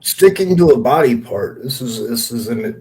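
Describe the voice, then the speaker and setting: A man's voice: mid-range voice, expressive, normal speed, darkish tone, a little hiss or hum, poor audio. Male podcast panelist, mid-range voice, colloquial and salty-tongued, loose conversational delivery. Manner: profane, colloquial. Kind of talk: casual podcast chat.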